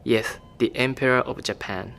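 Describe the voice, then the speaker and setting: Audio drama: formal, courteous male doctor-envoy, medium pitch, composed. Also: fairly even intonation, moderate pace, gravelly, fairly bright tone. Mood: polite, composed.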